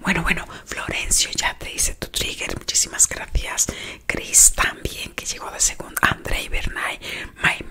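A woman whispering close to the mic on a playful ASMR live stream, greeting chat viewers affectionately and softly repeating words. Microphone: close microphone.

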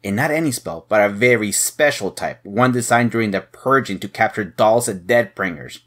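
An actor playing a shrewd, suspicious head agent, probing and mocking, in an audio drama. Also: male voice, lowish voice, moderate pace, fairly bright tone. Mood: accusing. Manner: probing, sardonic.

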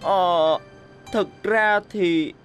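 An actor voicing an eager, polite young bus in a children's cartoon, earnest and expressive. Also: medium pitch, drawn-out words. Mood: apologetic. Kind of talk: dubbed children's cartoon acting. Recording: music behind the voice.

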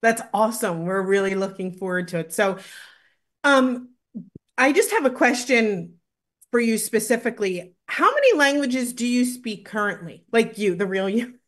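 A woman in her thirties hosting a webinar from home over a video call, presenting a product with excited, gushing talk and many fillers. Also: a little high, normal speed. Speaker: webinar host. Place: home room, indoors. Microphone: video call webcam.

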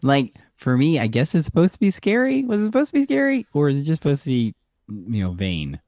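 A man's voice: huge pitch swings, brisk, a little mellow, no room sound, studio quality. A man co-hosting a podcast, chatting playfully and laughing often while recapping a TV episode. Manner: playful, laughs often, jumps up in pitch for emphasis. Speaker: podcast co-host. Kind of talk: casual chat recapping a TV episode.

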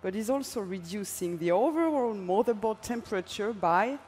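A woman's voice: medium pitch, animated, normal speed, a little mellow, husky. A woman giving a scripted, upbeat product presentation on a large keynote stage. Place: large stage in an arena hall.

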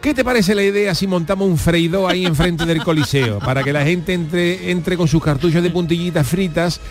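A man's voice: sits high, normal speed, soft background music, natural voice. A man playing a cheeky, streetwise time traveller from Cádiz in a comic radio play, with an Andalusian accent and colloquial wisecracks. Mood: chatty, eager. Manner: colloquial, wisecracking, clipped words.

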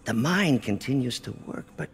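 An actor playing a middle-aged male scientist who is ailing, speaking in a gravelly, halting, solemn and confiding way in a video game. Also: medium pitch, lively intonation, big-room sound, a little hiss or hum, close to an everyday voice. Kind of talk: acted video game dialogue.

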